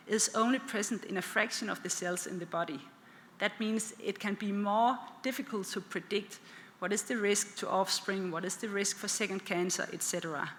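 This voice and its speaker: Danish-accented woman, geneticist at a podium, bright voice, long hall reverb. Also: mid-range voice, a little hiss or hum. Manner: plain, simplifying explanations for lay listeners. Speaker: clinical geneticist panelist. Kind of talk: conference presentation. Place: large conference hall. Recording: reverberant hall with faint ambience.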